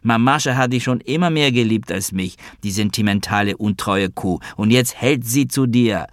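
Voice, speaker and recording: A man's voice: on the low side, normal speed, no echo, clean studio sound. A man voicing a stern, mocking adult sister who is defiant and needling, in a literary audiobook story. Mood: indignant.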